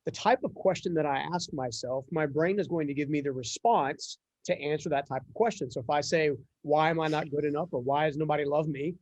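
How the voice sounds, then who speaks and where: A man's voice: fairly high pitch, darkish tone, no room sound. A man hosting a conversational podcast interview, talking in an earnest, wordy and reflective way. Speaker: interview host.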